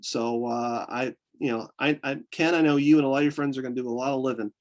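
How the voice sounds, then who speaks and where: A man's voice: medium pitch, fairly even intonation, quick pace. A man from upstate New York with a mixed Southern and Northern US accent, an eager guest who talks in a rambling way with many restarts. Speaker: guest, photographer. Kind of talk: casual interview chat.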